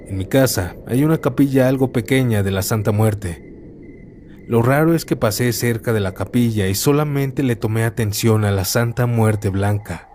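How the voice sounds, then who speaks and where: A man narrates horror stories in a calm, steady first-person read. His voice sits a little low and has a warm, mellow tone. A faint noise runs under the recording.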